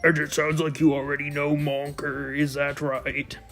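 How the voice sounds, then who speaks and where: A man's voice: fairly high pitch, level tone, normal speed, vocal fry, a bit bright, faint music behind. A man voicing a cheerful, airheaded teenage schoolgirl in a visual novel, in a pleading, sing-song way. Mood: bubbly.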